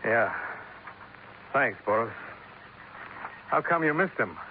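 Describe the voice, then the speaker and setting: An actor playing a tough, cool-headed American insurance investigator, terse and dry, in 1950s radio detective style. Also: male voice, mid-range voice, animated, takes it slowly, a little hiss or hum. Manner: terse, hard-boiled, dry. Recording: tinny narrow-band old radio recording.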